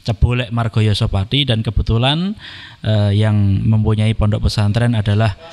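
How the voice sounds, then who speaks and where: A man, speaking as a podcast guest in a polite, measured way, with frequent "eh" and "ya" fillers. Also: fairly low pitch, fairly even intonation, darkish tone, big-room sound. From Indonesia. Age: 30s. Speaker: podcast guest, Quran reciter. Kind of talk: casual podcast conversation.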